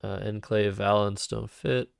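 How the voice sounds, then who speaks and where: A man streaming a word game who thinks aloud about his moves in a calm, soothing, soft-spoken way, recorded on a cheap microphone. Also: lowish voice, a little slow, slight background noise. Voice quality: vocal fry. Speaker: Scrabble streamer. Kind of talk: live-streamed game commentary.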